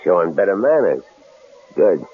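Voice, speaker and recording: A menacing, deliberate killer in an old radio thriller. His very deep voice moves widely as he taunts and orders people about. The sound is dull with a light hiss. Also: very animated. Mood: falsely cozy. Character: menacing male killer toying with trapped guests, falsely cozy. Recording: muffled, lightly hissy old radio drama.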